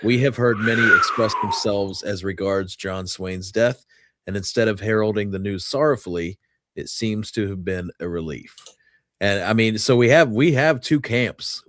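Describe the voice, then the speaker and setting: Low-voiced man, dry close sound. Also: normal speed, a bit bright, no echo. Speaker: co-host. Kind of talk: casual history discussion with read-out newspaper clippings.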